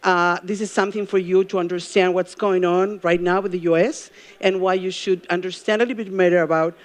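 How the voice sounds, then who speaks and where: A middle-aged woman from Peru with a Peruvian Spanish accent, giving a live talk as a journalism professor. She engages the crowd with questions and pauses often with ums and uhs. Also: on the low side, fairly even intonation. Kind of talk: live stage talk to an audience. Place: event stage before a live audience.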